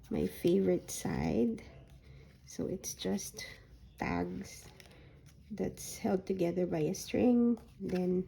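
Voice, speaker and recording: A woman with a hoarse voice that is recovering from a sore throat, showing a handmade journal folio in a soft, unhurried way with frequent ums. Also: medium pitch, slight background noise, low-quality recording. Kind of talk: slow, casual craft flip-through.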